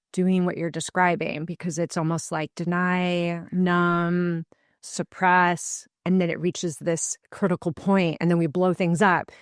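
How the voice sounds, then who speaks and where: A woman's voice: lowish voice, dry recording, clean studio sound. A woman hosting an interview podcast, who asks long, reflective, winding questions. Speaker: podcast host.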